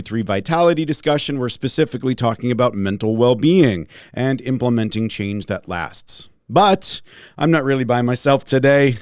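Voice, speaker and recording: A man hosting a podcast in a casual, jokey, self-deprecating way, his voice slightly raspy and congested. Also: mid-range voice, a little mellow, no echo, clean studio sound. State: congested from a respiratory illness. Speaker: podcast host, holistic pharmacist.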